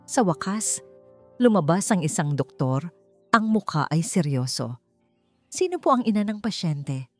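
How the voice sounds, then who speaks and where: A woman's voice: sits low, darkish tone. A woman reading a scripted, dramatic romance story as its narrator.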